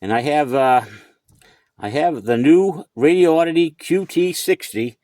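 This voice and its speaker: A man's voice: fairly high pitch, a little slow, a little mellow. A middle-aged or older man from the United States giving a casual, rambling vlog demonstration inside his pickup truck, often repeating himself. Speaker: hobbyist showing his CB radio.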